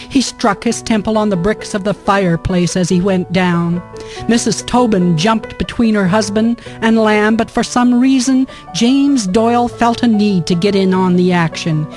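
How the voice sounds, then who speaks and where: A woman's voice: medium pitch, darkish tone. A woman giving scripted storytelling narration for a historical radio drama. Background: music.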